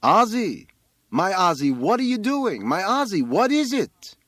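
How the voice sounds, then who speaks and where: A man's voice: high voice, big pitch swings, measured pace, fairly bright tone, no room sound, clean studio sound, voice pushed up, full character voice. A man voicing a bewildered, doting mother in an audiobook story, fretful and fond. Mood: bewildered, worried. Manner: fretful, repeating endearments. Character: bewildered, doting, worried mother of a young boy.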